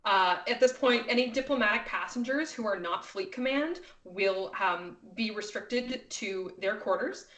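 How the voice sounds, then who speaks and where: A woman's voice: mid-range voice, a little slow, hardly any pauses, a bit bright. A woman running a tabletop role-playing game as game master, narrating off the cuff in a casual way. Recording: echoey room, distant from mic.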